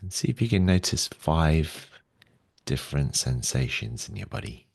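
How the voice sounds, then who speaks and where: A man leading a guided meditation in a gentle, soothing way, speaking slowly and softly with long pauses. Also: a bass voice, big pitch swings, gravelly, dry recording.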